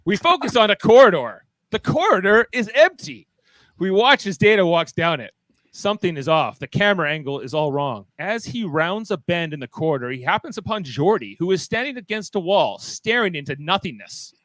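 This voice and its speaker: A man's voice: high voice, very animated, brisk, a bit bright, dry recording. A man hosting and narrating a live amateur radio play in an informal, playful way. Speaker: host and narrator.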